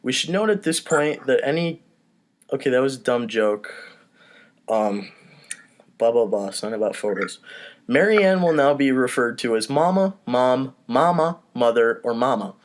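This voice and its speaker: A man's voice: medium pitch, lively intonation, normal speed. Man leading a casual table read. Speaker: podcast host reading stage directions.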